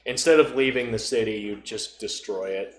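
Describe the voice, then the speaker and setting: A man's voice: on the low side, moderate pace. A man talking casually and conversationally as a podcast co-host. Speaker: podcast co-host discussing tabletop role-playing. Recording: roomy echo, noticeable background noise.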